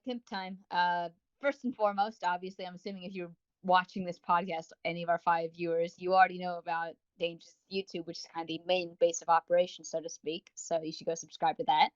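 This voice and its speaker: A woman's voice: lowish voice, dry recording. A woman co-hosting a casual review podcast in a wordy, tongue-in-cheek way. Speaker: podcast co-host. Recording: dull, dry lo-fi voice recording.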